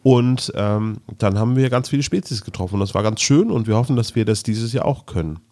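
Low-voiced man, casual podcast storyteller. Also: brisk, mellow. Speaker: podcast co-host.

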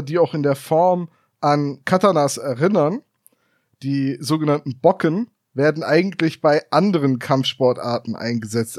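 Nitpicky man with a higher voice. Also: no echo, studio quality. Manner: explaining, nitpicky, deadpan jokes. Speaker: podcast co-host. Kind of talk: casual chat reviewing an audio drama.

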